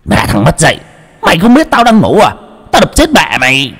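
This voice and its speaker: A hot-tempered rich old man, voiced by a man yelling curses with a high, strained voice. His pitch leaps widely. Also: wildly swooping pitch, brisk, husky, in a large hall, soft background music, heavily acted. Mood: furious. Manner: yelling, cursing.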